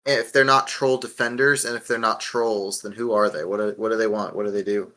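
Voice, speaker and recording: A man's voice: lowish voice, flat delivery, a little fast, sharp tone. A man hosting a casual podcast discussion, talking bluntly with swearing and steering with questions. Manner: profane, blunt, leads with questions. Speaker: podcast host.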